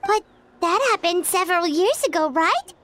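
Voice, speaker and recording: A woman voicing a small, excitable, childlike female companion in a video game, squeaky and eager. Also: moderate pace, noisy background. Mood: doubtful. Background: game music.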